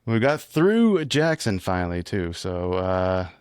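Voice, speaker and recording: Easygoing low-voiced podcast man. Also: big pitch swings, takes it slowly, clear, resonant tone, no room sound, clean studio sound. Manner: easygoing, conversational, tallies scores aloud. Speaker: podcast co-host. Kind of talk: casual chat.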